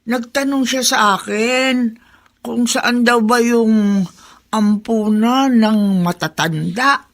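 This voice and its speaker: An actress playing an elderly neighbour woman in a radio drama, halting and hesitant, gossipy but concerned. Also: fairly high pitch. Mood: musing, concerned.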